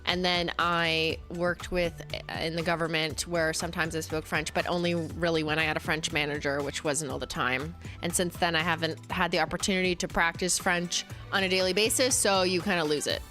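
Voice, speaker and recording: A woman's voice: on the low side, fairly even intonation, brisk, hardly any pauses, pushed and bright, faint music behind. A young Canadian woman with a Canadian accent hosting a casual livestream while sick and losing her voice, chatty and laughing often. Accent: Canadian English. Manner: chatty, laughs often, switches between English and French. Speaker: livestream host, brand founder.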